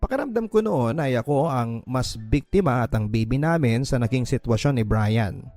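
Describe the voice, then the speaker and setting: Male Filipino narrator for scripted radio drama letters, medium pitch, music bed underneath. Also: animated, moderate pace, a little mellow. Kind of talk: scripted first-person story narration. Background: background music.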